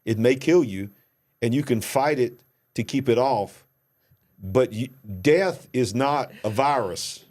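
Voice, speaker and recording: A man's voice: medium pitch, slow, a little mellow. An American man hosting a casual reaction talk show, emphatic and joking with a preacherly edge. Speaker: host.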